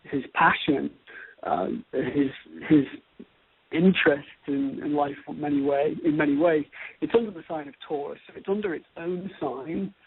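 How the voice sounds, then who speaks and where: Husky, creaky man on a phone-like line. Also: a little high, steady tone. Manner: halting, deliberate, repeats and pieces phrases together. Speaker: co-host, astrologer. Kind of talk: conversational astrology commentary. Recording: tinny, phone-like radio-show audio.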